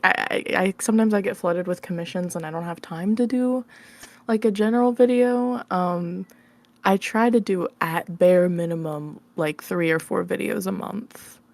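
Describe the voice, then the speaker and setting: A woman with a slightly low voice answers questions in a casual interview. She is giggly and informal, feeling her way through sentences. The recording is dry and close. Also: normal speed, darkish tone, no room sound. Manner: giggly, informal, hesitant phrasing with many likes. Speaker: interview guest, ASMR roleplay creator.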